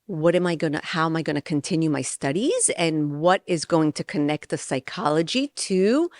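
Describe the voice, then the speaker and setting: A woman's voice: low voice, lively intonation, normal speed, no echo, clean studio sound. A middle-aged woman from Israel and New York, an interview guest explaining her work in an earnest, conversational way. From born in Israel, raised in New York from age ten.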